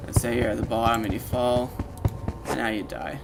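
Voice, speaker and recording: A man giving a casual, informal walkthrough of a software demo, explaining things step by step to the listener as if they were the player. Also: medium pitch, fairly even intonation, normal speed, creaky. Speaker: presenter of a video walkthrough, game sound designer. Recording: loud game music under the voice. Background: video game music and sound effects.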